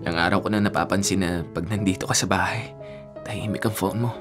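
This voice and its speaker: A gentle ailing younger sibling voiced by an actor in a Filipino radio drama. The delivery is soft, hesitant and worried, guilty about being a burden, with music running behind. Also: deep voice, fairly even intonation, quick pace, lightly acted. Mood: concerned. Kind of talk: naturalistic radio drama acting.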